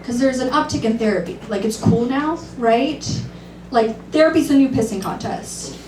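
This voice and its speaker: A woman in her thirties doing a dry, self-deprecating stand-up set, sometimes shrill and laughing at her own jokes. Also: expressive, normal speed, darkish tone. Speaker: stand-up comedian. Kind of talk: stand-up set at an open mic. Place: comedy club stage. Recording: roomy, distant, noisy club recording.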